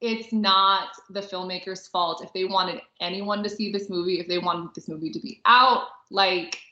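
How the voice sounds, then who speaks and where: A woman's voice: mid-range voice, steady tone, normal speed, sharp tone. A woman co-hosting a podcast, chatting casually and informally about films, peppering her talk with filler words like "like.". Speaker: podcast co-host. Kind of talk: casual movie review chat. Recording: roomy echo, dull lo-fi audio.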